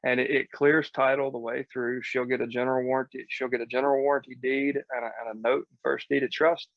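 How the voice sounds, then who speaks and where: A man's voice: medium pitch, flat delivery, fast talker, gravelly, dry recording, lo-fi sound. A man giving casual, blunt coaching as a real estate podcast host, explaining step by step in informal, mildly profane language.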